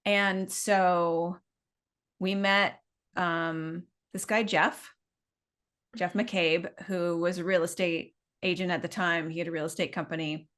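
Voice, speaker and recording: A woman's voice: lowish voice, little pitch movement, slow pace, a bit bright, smooth. A middle-aged woman with a Canadian accent, telling her story in a chatty, meandering, informal way as an interview guest. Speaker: business owner being interviewed.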